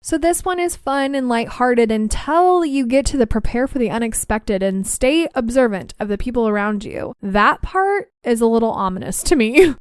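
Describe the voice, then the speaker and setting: A woman's voice: fairly high pitch, clean tone, no echo, studio quality. A young woman hosting a podcast, chatting casually and then reading listener stories aloud. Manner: chatty, laughs easily, reads stories aloud. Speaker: podcast host.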